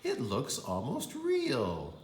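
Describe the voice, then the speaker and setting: A man's voice: medium pitch, wildly swooping pitch, slow pace, echoey room. A man voicing an admiring, easily startled lady shopper in a storybook.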